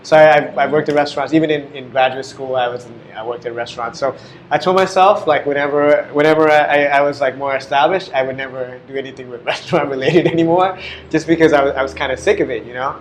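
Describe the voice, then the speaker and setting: A man's voice: medium pitch, quick pace, slight background noise. A young adult man, a guest talking casually in an interview, rambling and full of fillers. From United States. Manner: rambling, heavy on "like" and "you know". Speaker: guest, business owner.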